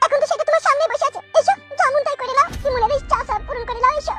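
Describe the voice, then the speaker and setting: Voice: very high voice, racing, sharp tone, faint music behind. A performer voicing a tearful, resentful young woman forced to be a bride. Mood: tearful, bitter. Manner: reproachful, dramatic. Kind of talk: melodramatic comic cartoon dubbing.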